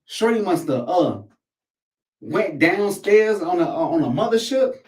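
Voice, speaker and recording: A man's voice: high voice, very animated, measured pace, darkish tone. An American man giving casual, rambling commentary in heavy slang, swearing and repeating phrases for emphasis. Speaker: host of a commentary show. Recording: echoey room, distant microphone.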